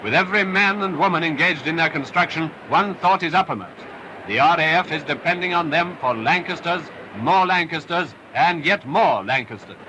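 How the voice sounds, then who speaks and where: A man's voice: fairly high pitch, lively intonation, moderate pace, bright, forceful tone. A man narrating a wartime film in an emphatic, patriotic style, on a noisy restored 1940s film soundtrack. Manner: upbeat, emphatic, patriotic delivery.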